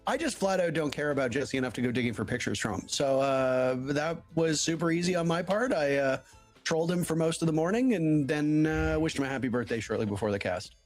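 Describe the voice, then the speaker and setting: A man from Canada commentating live esports, teasing his co-caster and then building to excited hype. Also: fairly high pitch, brisk, faint music behind.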